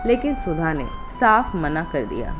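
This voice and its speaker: Low-pitched woman storyteller over music. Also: very animated, a little slow. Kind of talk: scripted narration of emotional family story. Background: background music.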